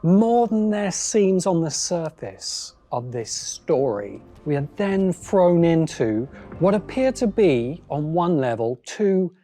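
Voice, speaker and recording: A man narrating a scripted video essay as host, phrasing deliberately with pauses for emphasis. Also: fairly high pitch, very animated, dark tone. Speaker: host, science fiction critic.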